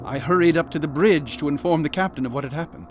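A man's voice: a little high, fast, music in the background, natural voice. Man playing an earnest, haunted ship's doctor. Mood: grave, recounting. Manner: formal, old-fashioned, earnest. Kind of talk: radio drama acting and narration.

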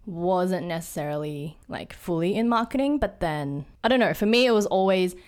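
A woman's voice: fairly low pitch, expressive, normal speed, darkish tone. A young Australian woman with an Australian accent, a podcast guest chatting casually and trailing off with fillers. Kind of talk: casual interview chat.

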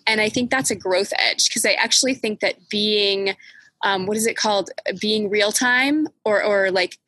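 A woman's voice: mid-range voice, pushed and bright, husky, dry recording, poor audio. A woman hosting a casual, reflective chat, thoughtful and searching for words. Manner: thoughtful, many fillers and restarts.

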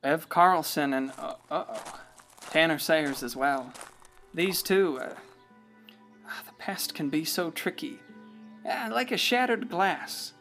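A woman's voice: sits low, slow pace, long pauses, fairly bright tone, heavily acted. An actress playing a folksy narrator in a radio play, musing and conversational as she watches over a town. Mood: folksy, confiding. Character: folksy storyteller watching over a river town's generations. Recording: faint music behind voice.

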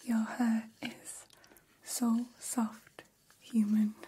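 A curious, gentle female alien played by a woman murmurs in a soft, breathy whisper for ASMR. The pace is extremely slow and the tone stays even and mellow. Phrasing is formal and careful, as if studying humans kindly. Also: fairly high pitch, a little hiss or hum. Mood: tender, soothing. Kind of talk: ASMR personal attention roleplay.

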